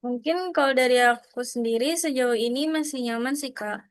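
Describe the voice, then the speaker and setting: A woman's voice: fairly high pitch, fairly even intonation, brisk, no echo, clean studio sound. A nineteen-year-old woman being coached in a practice session over a video call, speaking politely and casually and hesitating often. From Tangerang Selatan, Indonesia. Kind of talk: role-play coaching session.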